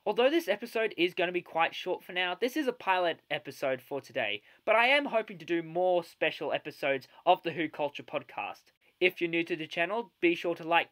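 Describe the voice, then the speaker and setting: A man's voice: fairly high pitch, a bit bright. A young man hosting a solo fan podcast, speaking in an enthusiastic manner. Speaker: podcast host.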